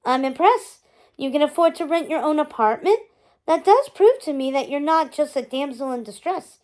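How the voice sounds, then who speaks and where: A woman voicing a calm, fair-minded fairy in a storybook, with a measured, approving manner. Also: high-pitched.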